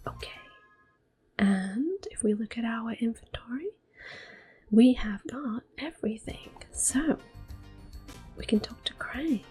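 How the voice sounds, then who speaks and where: A woman speaks in a hushed, breathy whisper, slowly and gently. She is close on the mic, commentating on a game in a soft ASMR style, with music faintly behind her. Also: on the high side, animated. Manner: gentle, slow, unhurried. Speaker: gameplay video host.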